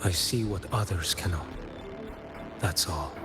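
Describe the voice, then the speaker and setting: A man playing a stoic blind agent in a video game, speaking in a slow, measured, grave and cryptic way. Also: sits low, over loud music. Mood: calm, contemplative. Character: stoic blind male agent, a solemn investigator of hidden things. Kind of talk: scripted video game acting.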